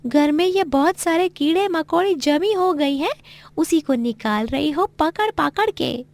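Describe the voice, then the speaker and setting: A woman's voice: high voice, animated, measured pace, dry recording, pitched above the performer's own voice. A woman voicing a perky young Chinese daughter-in-law who runs a food stall in a story cartoon, sing-song and dropping English phrases. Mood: playful, coy. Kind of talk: moral story cartoon voice acting.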